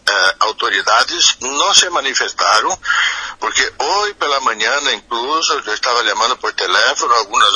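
A man with a Brazilian Portuguese accent, not a native speaker, answering a radio interview as an official, halting and searching for words. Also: medium pitch, sharp tone, slightly rough, dry recording, poor audio. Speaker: municipal tourism secretary, interview guest. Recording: remote call heard over radio.

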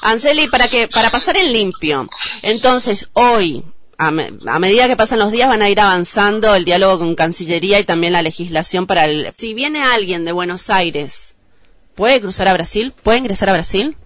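An Argentine woman hosts a live news interview on the radio. Her voice sits at a medium pitch, in a very dry sound. She speaks as an interviewer putting questions to a guest. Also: animated, moderate pace, fairly bright tone, no echo.

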